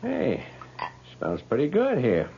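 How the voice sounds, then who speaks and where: An actor playing a brash, carefree young delinquent in a radio drama, with a casual, slangy delivery. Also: male voice, medium pitch, huge pitch swings, takes it slowly, gravelly, heavily acted. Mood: breezy. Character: brash, carefree delinquent young man who likes his drink. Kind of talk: 1970s radio drama acting. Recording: dull, faintly noisy old radio recording.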